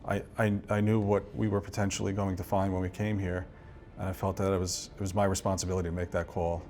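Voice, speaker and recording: Low, even-voiced man, halting. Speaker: interview guest. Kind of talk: recounting a personal ordeal.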